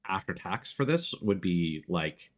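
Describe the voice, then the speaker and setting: A man's voice: sits low, big pitch swings, a little slow. A man giving casual live gameplay commentary as a streamer, rambling and restarting his sentences mid-thought.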